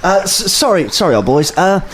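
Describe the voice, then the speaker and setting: A man voicing a huge, polite and sleepy male owlbear who talks in a halting, apologetic way. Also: high-pitched, sing-song, a bit bright, slight background noise, pitched above the performer's own voice.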